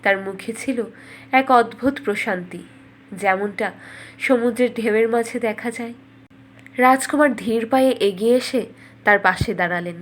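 A woman storyteller narrating a scripted fairy tale in a measured, dramatic way. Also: a little high, a little hiss or hum.

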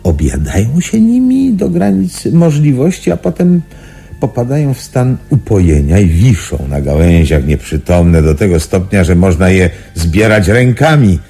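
An actor playing a calm sanatorium doctor in a Polish radio drama, explaining things in a measured, reassuring way. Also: male voice, deep voice, gravelly, slightly rough, heavily acted.

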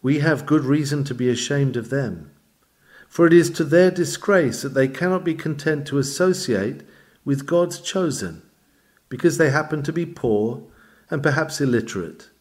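A man reading an old sermon aloud in a measured, emphatic, oratorical manner. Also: fairly high pitch, darkish tone. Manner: emphatic, measured, formal delivery. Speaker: reader of a historical sermon.

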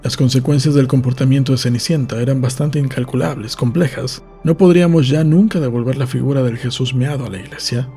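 Mellow man reading a story over faint music. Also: medium pitch, fairly quick, hardly any pauses. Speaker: audiobook reader. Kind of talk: scripted literary narration.

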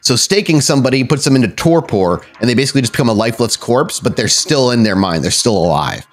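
A man's voice: mid-range voice, expressive, fast. A man narrating a tabletop role-playing game as game master, descriptive and dramatic with casual asides. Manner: descriptive, dramatic storytelling mixed with casual rules talk.

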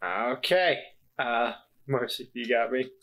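A man's voice: medium pitch, slow, creaky, fairly bright tone. A man chatting casually as a player in a tabletop game.

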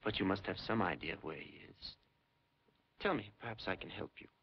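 An actor playing a polite, unctuous secret-police major who feigns sympathy in a 1950s film. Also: male voice, low-pitched, fairly quick, slightly rough. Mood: coaxing. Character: smooth, falsely courteous Soviet secret-police major interrogating a prisoner. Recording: dull, noisy 1950s film soundtrack.

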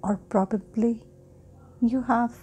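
A woman guiding a sleep hypnosis meditation in a soft, breathy, often near-whispering voice with long pauses. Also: on the high side, steady tone. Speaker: guided meditation host. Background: meditation music.

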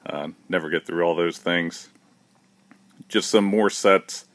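A man with a low, deep voice walks through a large indoor warehouse, talking in a relaxed, matter-of-fact way with plenty of "uh"s and restarts. He films on a handheld phone, and the sound is close and dry. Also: slow pace, no echo. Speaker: shop owner giving a warehouse tour. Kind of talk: casual walkthrough narration. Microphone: handheld phone camera, speaker behind it.

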